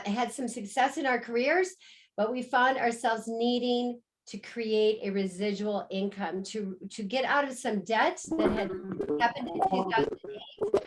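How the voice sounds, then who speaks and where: A middle-aged woman from the United States pitches a business opportunity over a video call. She speaks warmly and with upbeat sales energy, and her voice sits a little above the usual pitch for a woman. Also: rough recording. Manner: warm, upbeat sales storytelling. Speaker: co-host and business presenter.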